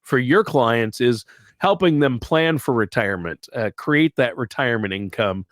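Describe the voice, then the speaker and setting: A man's voice: medium pitch, expressive, moderate pace, dry recording, clean studio sound. A man co-hosting a finance talk show, chatting casually and prompting questions. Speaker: co-host.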